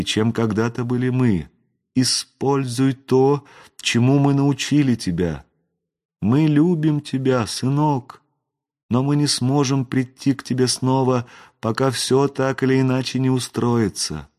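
A man voicing the gentle, regretful ghost of a wizard's parent, with solemn, tender phrasing, in a fantasy audiobook. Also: mid-range voice, pitched above the performer's own voice, natural voice.

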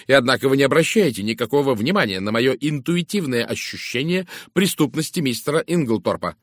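A man voicing a brusque, impatient, outspoken woman in an audiobook, with a curt, sharp and emphatic delivery. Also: mid-range voice, expressive, fairly quick, no echo, clean studio sound, voice pushed up, close to an everyday voice. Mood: indignant. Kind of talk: dramatised audiobook dialogue.

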